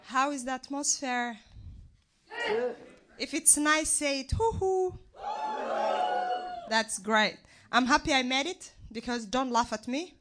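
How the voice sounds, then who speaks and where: A woman's voice: a little high, background noise. A young woman from Somalia with a Somali accent, speaking as a guest activist on a live stage show and joking with the audience. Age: young adult. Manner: warm, joking rapport with the audience. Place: live art venue with audience.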